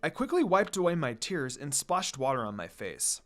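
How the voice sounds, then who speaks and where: An expressive reader and channel host narrating anime fan fiction in a casual, storytelling style. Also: medium pitch, moderate pace. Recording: background music.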